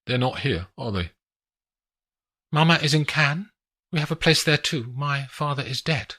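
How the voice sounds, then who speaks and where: A man voicing a calm, composed young woman with a faint foreign lilt, reading a thriller audiobook. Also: on the high side, a little slow, pitched above the performer's own voice. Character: calm young woman, a cool former assassin. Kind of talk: audiobook character voice.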